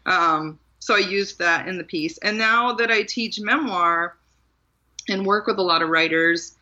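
A woman's voice: lowish voice, a little slow, bright, forceful tone, muffled recording. A woman from Maryland, an author and memoir teacher, chatting as an interview guest and laughing often. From Maryland, USA. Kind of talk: casual conversational interview.

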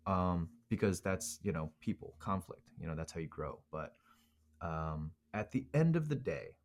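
Very deep-voiced man rambling with fillers. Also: animated, normal speed. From Korea. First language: Korean. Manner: rambling, many fillers and restarts. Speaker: podcast co-host. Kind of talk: casual chat.

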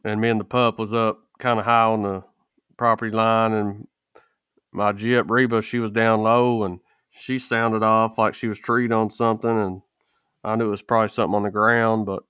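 A man's voice: lowish voice, flat delivery, normal speed, a bit bright, clear, resonant tone, no echo, studio quality. A man in a casual podcast chat, telling stories with folksy, rural phrasing. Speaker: podcast co-host.

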